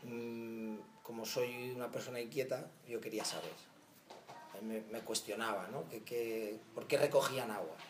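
A man in his thirties with a Spanish accent, recounting in a documentary interview how he started helping migrants, thoughtful and halting with restarts. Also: medium pitch, steady tone, roomy sound, noisy background. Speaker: volunteer founder. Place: outdoor patio of a house.

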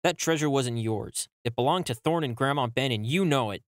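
A man's voice: medium pitch, a little fast, no room sound, studio quality, natural voice. A man voicing a sensible, exasperated little cartoon creature in a comic dub, earnest and admonishing. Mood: firm. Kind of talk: comic book dub acting.

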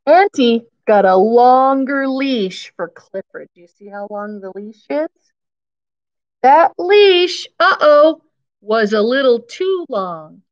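A woman's voice: high voice, animated, takes it slowly, highs cut off. A woman in her fifties reads a picture book aloud to young children, adding simple explanations and repeating key phrases as a teacher does. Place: indoors, school classroom.

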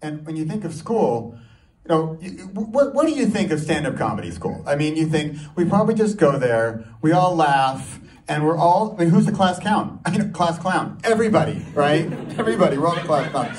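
A man performing a stand-up comedy set, telling a story in an animated way and throwing rhetorical questions at the audience. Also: fairly high pitch, normal speed. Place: live comedy show before an audience. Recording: live room, distant mic, audience noise. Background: audience crowd and laughter.

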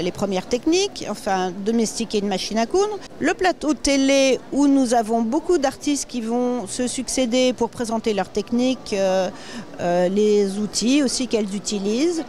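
A woman's voice: fairly high pitch, normal speed, warm tone. A middle-aged woman, the show's organizer, giving a welcome address to the camera in an exhibition hall, with some hesitations. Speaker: show organizer. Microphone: handheld mic.

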